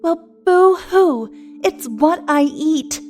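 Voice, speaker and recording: Lady doing a bitter sarcastic monster woman, highish voice, combative, horror audio drama with music behind. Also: animated, slow pace, darkish tone. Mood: furious. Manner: sarcastic, foul-mouthed, combative. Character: defiant, bitter century-old female shapeshifting monster in human form. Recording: music under the voice.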